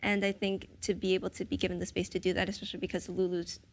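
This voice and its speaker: A woman's voice: on the low side, little pitch movement, fast. A young American woman, an actress on a roundtable, talking thoughtfully and with animation. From United States. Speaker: actress guest. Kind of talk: roundtable conversation.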